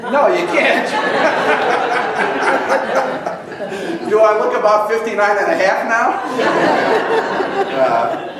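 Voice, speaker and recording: A man in his sixties, a pastor, telling a humorous story in a sermon with a chuckling, lively manner. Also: sits high, drawn-out words, long pauses, over a noisy crowd. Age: 60s. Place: large church hall with congregation. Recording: echoey hall from far off, congregation audible.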